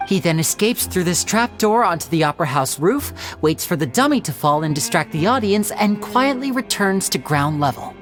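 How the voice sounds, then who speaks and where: A suave young stage magician. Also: male voice, high voice, animated. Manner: smooth, measured storytelling explanation. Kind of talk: video game cutscene dialogue. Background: soft background game music.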